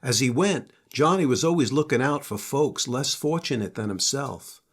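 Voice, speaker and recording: A man's voice: mid-range voice, lively intonation, moderate pace, slightly rough. An older man, a grandfather, narrating a children's story.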